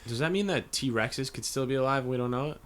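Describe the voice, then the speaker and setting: A man's voice: mid-range voice, fast. A young man co-hosting a casual podcast, tossing in jokey one-liners and swearing freely. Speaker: podcast co-host. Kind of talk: casual chat.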